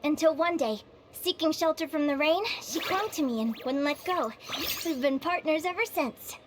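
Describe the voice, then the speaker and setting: A woman's voice: high-pitched, normal speed, background noise, close to an everyday voice. A woman voicing an eager, curious young woman guild advisor in a video game, friendly and enthusiastic in manner. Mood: earnest storytelling. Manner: bubbly, enthusiastic. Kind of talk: video game character dialogue. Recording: music behind the voice.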